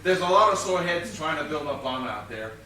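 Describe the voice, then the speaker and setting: An actor playing a bumbling, overconfident police inspector in a comic stage whodunit, blustering and slangy in manner. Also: male voice, on the high side, animated, a little fast, bright, forceful tone. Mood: excited, cocksure. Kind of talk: comic stage play acting. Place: theatre stage, mic far off. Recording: distant, echoey theatre stage recording, faint hiss.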